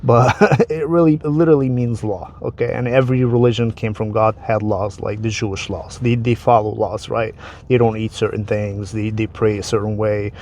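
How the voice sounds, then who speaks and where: A man's voice: on the low side, little pitch movement, moderate pace, a little mellow, a little hiss or hum. Casual man. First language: Arabic. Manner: conversational, repeats words, restarts phrases. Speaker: vlogger explaining religion. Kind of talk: casual explanatory talk to camera.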